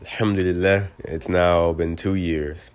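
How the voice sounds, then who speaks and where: A man's voice: low-pitched, fairly even intonation, unhurried, clear, resonant tone. A man with a congested, stuffy voice, talking earnestly to camera in a casual personal video reflection, often clipping and restarting words. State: congested from a cold. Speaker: vlogger.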